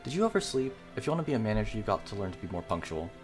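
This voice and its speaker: A man's voice: lowish voice, very animated, very fast. A man voicing a brash, teasing woman school principal with a breezy air of authority in a visual novel. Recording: music behind the voice.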